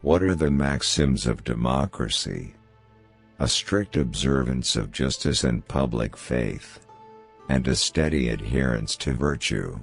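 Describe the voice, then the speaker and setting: A man reads famous quotations as a scripted narration, in a measured, formal manner. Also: a bass voice, animated, vocal fry, husky. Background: background music.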